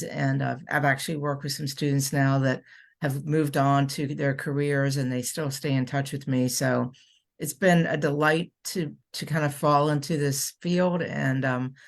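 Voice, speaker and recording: A woman's voice: sits low, vocal fry. A middle-aged woman, a university administrator, introducing herself on an online panel in a friendly, conversational way. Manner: friendly, conversational, some restarts. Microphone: video call.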